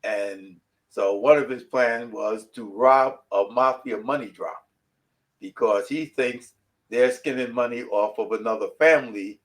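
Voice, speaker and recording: New man, author guest, rambling casual storyteller, mid-pitched voice. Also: unhurried. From New York City. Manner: rambling storyteller, repeats himself. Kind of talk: casual interview chat.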